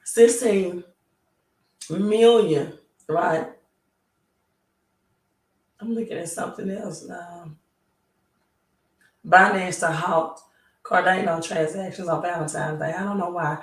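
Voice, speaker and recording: A hoarse woman hosting a live stream, talking slowly and pausing often to ask "right?". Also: on the low side. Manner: slow, halting, repeats "right?". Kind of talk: casual live stream talk. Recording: roomy, distant mic.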